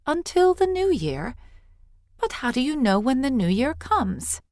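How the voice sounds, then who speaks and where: Casting: adult woman as curious, excitable young girl for storybook fantasy, raised pitch, dry close sound. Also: measured pace, dry recording, full character voice. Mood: eager, curious. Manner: excited, questioning.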